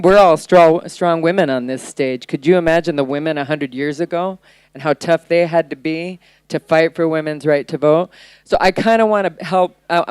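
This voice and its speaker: A woman with a deep voice speaking bluntly as a conference panelist about her work creating events. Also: darkish tone, clean tone, no echo. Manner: blunt, direct. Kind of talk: panel talk. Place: conference stage.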